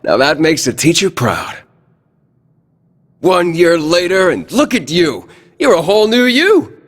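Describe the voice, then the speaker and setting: An actor playing a cocky, teasing young male squad mentor with a husky voice in a video game cutscene. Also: a little high, very animated, unhurried, in a large hall. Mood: proud, teasing.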